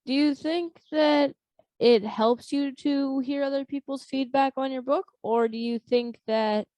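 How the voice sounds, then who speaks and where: A woman's voice: high-pitched, fairly even intonation, a little slow, warm tone, no room sound. A woman hosting a book review show, asking questions in a casual interview style. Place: remote online call.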